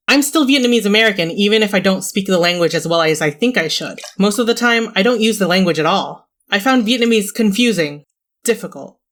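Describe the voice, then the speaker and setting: A young woman from California reading a scripted personal essay in a reflective, measured and wry way. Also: mid-range voice, a bit bright. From Orange County, California. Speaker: video essayist.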